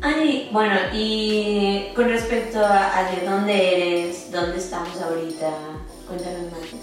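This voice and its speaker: A chatty young northern Mexican woman with a mid-pitched voice in casual conversation, slipping into English now and then. She is giggly. The recording is very echoey and off the mic, with music behind her. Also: fairly even intonation, measured pace, far from the mic. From Monterrey, Mexico. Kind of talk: casual chat with some English mixed in.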